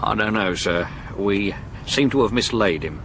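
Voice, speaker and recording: An actor playing a dry, deferential Victorian police sergeant with an English accent in a radio drama. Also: male voice, fairly low pitch, a little slow, a bit bright. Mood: dry, grim. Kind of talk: 1970s radio drama acting. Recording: slightly noisy vintage radio recording.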